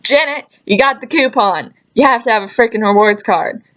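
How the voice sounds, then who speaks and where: A young adult woman telling casual, chatty stories in an animated, exasperated way, with sarcastic asides and mild swearing. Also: fairly high pitch, normal speed, dull audio. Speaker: storytelling vlogger. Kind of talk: casual anecdote vlog, chatty.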